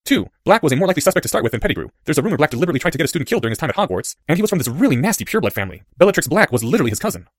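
A clever schoolboy in a storybook, played by a male narrator, eager and wordy. His raised voice rattles along very quickly and swings animatedly as he explains. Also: a little high, very animated, dry recording, studio quality, voice pushed up. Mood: intent explaining. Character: bright, excitable, nerdy schoolboy explainer.

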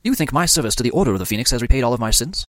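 A man's voice: mid-range voice, expressive, rapid-fire, no echo, clean studio sound, close to an everyday voice. A man voicing a stern, weary middle-aged male potions master who speaks formally and with resignation. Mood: grave. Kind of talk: audiobook character voice.

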